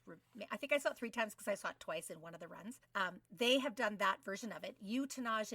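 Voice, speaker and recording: Bright-voiced woman co-hosting, a bit off-mic. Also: mid-range voice, no room sound, distant mic. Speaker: podcast co-host. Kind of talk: casual podcast conversation.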